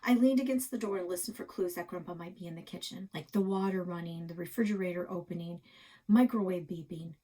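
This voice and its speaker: A woman reading a children's book aloud in an expressive, dramatic storytelling style. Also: lowish voice, brisk. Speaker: library read-aloud reader.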